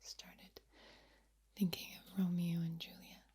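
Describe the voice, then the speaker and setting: Breathy, whispering woman, extremely slow. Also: on the low side, little pitch movement, warm tone. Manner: deliberate, drawn-out delivery with repeated words. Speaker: ASMR host. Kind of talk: soft-spoken ASMR, mostly whispered. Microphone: close, sensitive microphone.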